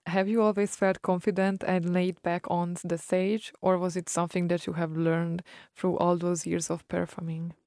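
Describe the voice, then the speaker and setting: Flat, gravelly-voiced woman interviewer. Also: on the low side, moderate pace, no room sound, clean studio sound. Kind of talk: interview questions.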